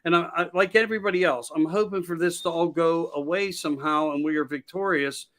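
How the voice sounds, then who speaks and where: Man, fairly high pitch, rambling casual chat, emphatic, repeats phrases. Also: steady tone, brisk, a bit bright. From Pennsylvania. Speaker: podcast host as guest.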